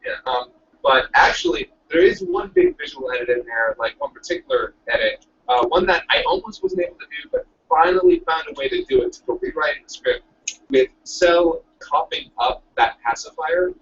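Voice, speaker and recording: A man's voice: fairly high pitch, huge pitch swings, moderate pace, creaky, fairly bright tone. A man, a director and editor, chatting over a call in an eager, rambling way. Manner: eager, rambling, self-correcting. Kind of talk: casual chat over a call. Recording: distant lo-fi call audio with faint hiss.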